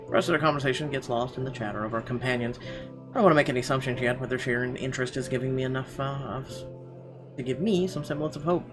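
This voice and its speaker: Man reading narration over clear game music. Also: mid-range voice, fast. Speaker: let's player. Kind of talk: reading visual novel narration aloud.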